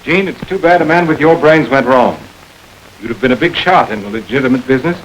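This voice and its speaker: Actor playing a steady district attorney, radio hiss. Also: male voice, mid-range voice, close to an everyday voice, rough recording. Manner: measured, businesslike. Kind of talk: 1940s radio acting. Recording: hissy old radio recording.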